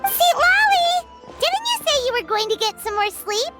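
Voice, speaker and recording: A woman voicing an energetic, teasing young girl in a video game. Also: very high-pitched, moderate pace, soft background music, exaggerated voice.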